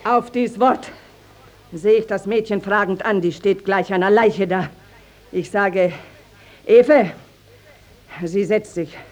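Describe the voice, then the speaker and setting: High-voiced, indignant village matron. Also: female voice, a little mellow. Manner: emphatic, declamatory, dramatic storytelling. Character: indignant, garrulous village mother, proud and accusing. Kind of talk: classic stage-style radio drama acting.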